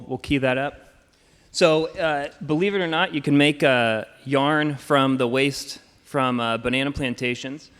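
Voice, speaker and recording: Male panelist, relaxed, filler-heavy, reverberant hall. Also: medium pitch, clean tone. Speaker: panelist, company founder. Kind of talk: panel talk.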